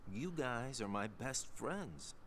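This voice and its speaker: A man's voice: mid-range voice, very animated, slow, a bit bright, faint music behind. An actor playing a laid-back, teasing young man who is a loyal older friend, in video game cutscenes. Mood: warm, teasing.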